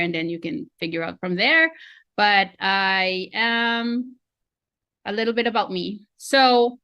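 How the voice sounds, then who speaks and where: Filipino woman in her forties, bright, chatty, upbeat, dry close sound, video call. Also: mid-range voice, dry recording. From Philippines. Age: 40s. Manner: chatty, self-deprecating, upbeat. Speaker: real estate coach. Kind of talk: online training webinar.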